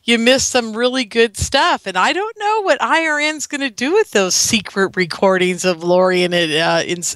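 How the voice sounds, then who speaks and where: A middle-aged woman hosting a radio show, hoarse from a cold, rambling along in chatty asides and laughing easily. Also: mid-range voice, fairly bright tone, no echo. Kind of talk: casual monologue to listeners.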